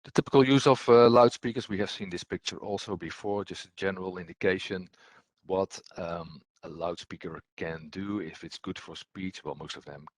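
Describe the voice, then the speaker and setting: A man with a low, husky voice walks through a technical training presentation. He talks like an instructor going through slides, halting often with ums and repeated words. The sound is close and dry. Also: dry recording.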